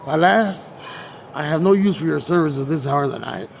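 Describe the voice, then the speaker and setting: A pompous old-world friar with a fairly high, creaky voice. He speaks in grand, haughty phrases in a period audio drama. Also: lively intonation, fairly quick, slight background noise. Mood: pompous, gracious. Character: haughty, pompous male Spanish friar of the Inquisition.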